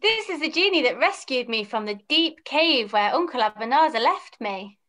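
A woman's voice: high voice, expressive, moderate pace, pushed and bright. A woman playing a naive, eager young pantomime hero with stagey exclamations, reading a script over a video call. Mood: excited. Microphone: computer mic on video call.

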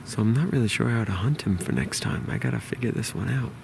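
A man in his forties with a New York accent, quietly explaining a hunt to a close-held camera outdoors in the woods. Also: very animated, fast, creaky, slight background noise. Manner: quiet, low-key explaining. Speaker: bowhunter. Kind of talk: hushed outdoor hunting vlog. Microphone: camera held close to face.

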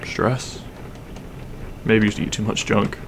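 A man playing a grumpy but secretly caring half-dragon roommate in an audio roleplay, gruff, weary and blunt. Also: lowish voice, little pitch movement, slow, a little hiss or hum. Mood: softening, caring. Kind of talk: audio roleplay acting, spoken to the listener.

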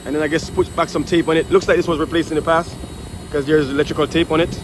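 A man's voice: on the high side, steady tone, quick pace. A man working as an HVAC technician talks through a repair job in a casual, filler-heavy way while filming with a phone outdoors. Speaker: HVAC technician and channel host. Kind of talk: hands-on troubleshooting walkthrough. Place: outdoors at a rooftop unit. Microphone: phone camera held in one hand. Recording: phone audio with loud outdoor noise.

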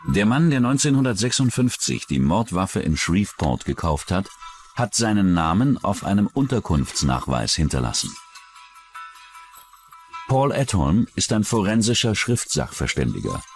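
A man's voice: low-pitched, very animated, normal speed, soft background music. A man reading scripted narration for a true-crime documentary.

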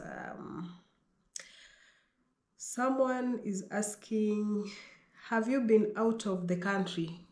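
A woman with a Kenyan accent talks in an unhurried, deliberate way, reading each viewer's question out loud and then answering it herself. She speaks at a mid pitch, and her voice rings a little off the bare bedroom walls. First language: Pokomo. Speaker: vlogger. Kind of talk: Q&A vlog. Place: small bedroom indoors. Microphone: fixed camera at desk distance. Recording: echoey bedroom.

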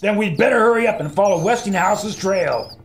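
A man's voice: high-pitched, normal speed, a little hiss or hum. An actor playing a brash man of action in a pulp radio adventure, punchy, slangy and commanding, with a wisecracking streak. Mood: brisk, wry.

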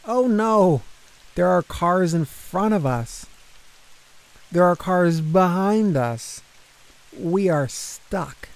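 Man acting out a little schoolgirl, storybook character, raised pitch, excitable, earnest. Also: drawn-out words, long pauses, full character voice. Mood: dismayed. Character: eager, starry-eyed little schoolgirl who loves fancy words. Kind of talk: children's storybook character reading.

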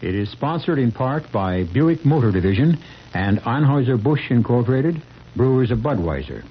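A man narrating a 1970s radio mystery in an ominous, deliberate, theatrical manner. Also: medium pitch, sing-song. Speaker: host and narrator. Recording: muffled vintage radio broadcast with loud music.

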